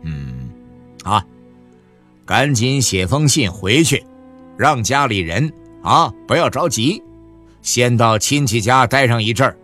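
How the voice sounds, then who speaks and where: A man playing a gruff scolding husband. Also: mid-range voice, lively intonation, slow, long pauses, bright, forceful tone, faint music behind. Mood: reassuring. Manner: scolding, brusque. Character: gruff middle-aged husband, bossy and scolding. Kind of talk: radio novel reading.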